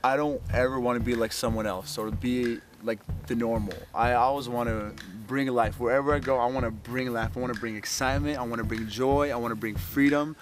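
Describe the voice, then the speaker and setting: A man's voice: medium pitch, steady tone, fairly quick, few pauses, background noise. A man from Ukraine, interviewed as a model, speaking earnestly in repeated short declarations. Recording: music playing under the voice.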